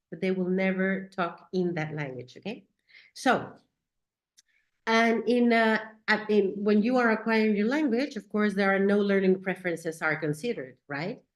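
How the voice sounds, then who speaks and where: A woman whose first language is Spanish, speaking English with a Spanish accent as she gives a talk on teaching, often checking in with "right?" and "okay?". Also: mid-range voice. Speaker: teacher trainer. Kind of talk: educational talk. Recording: echoey room.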